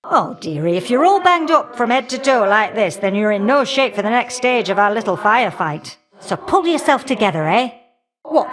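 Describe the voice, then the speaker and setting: A woman's voice: mid-range voice, a bit bright. A woman voicing a feisty, folksy elder goddess of flame in a video game, sassy and affectionately teasing. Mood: bracing, brisk. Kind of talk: video game character dialogue.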